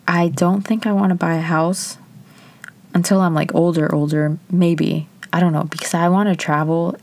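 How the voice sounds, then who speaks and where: A woman's voice: low-pitched, creaky, a little mellow. A woman in her early twenties talking candidly to camera, chatty and loose with lots of filler words. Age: 20s. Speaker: vlogger. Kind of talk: casual personal reflection.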